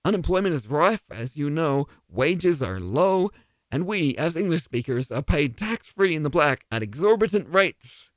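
A man's voice: on the high side, big pitch swings, moderate pace, no room sound. A man hosting a comedy podcast, delivering a scripted, mock-earnest monologue that rambles and keeps questioning itself. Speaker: podcast host playing the DM.

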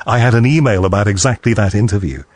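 A man's voice: fairly low pitch, fast talker, dry recording. A man hosting a radio talk show, chatting casually and rambling with frequent restarts and self-deprecating asides. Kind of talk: casual on-air chat. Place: radio studio.

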